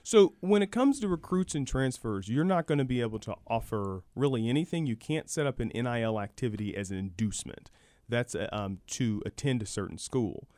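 A man with a mid-pitched voice speaks as a guest on a sports talk show. He explains things emphatically, doubling words for stress, in a dry, close studio sound. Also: animated, brisk, a little mellow, dry recording. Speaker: guest, university program director.

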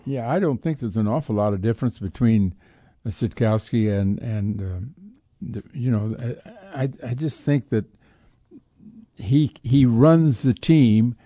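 Mellow man talking sports, dry studio sound. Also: mid-range voice, measured pace, no echo. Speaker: sports talk radio host. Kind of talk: casual sports commentary.

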